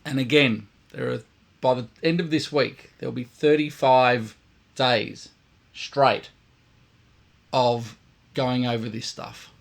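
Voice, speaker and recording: Croaky, gravelly-voiced man teaching brass. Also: medium pitch, steady tone, takes it slowly, long pauses. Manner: emphatic, blunt, repeats points for stress. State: croaky, tired voice. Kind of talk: direct instructional talk to camera.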